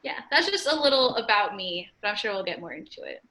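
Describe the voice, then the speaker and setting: Bright-voiced chatty young woman. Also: mid-range voice, very animated, brisk. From California, US. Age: 20s. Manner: chatty, frequent "like" fillers, upbeat. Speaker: podcast guest. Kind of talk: casual conversational interview. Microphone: remote call.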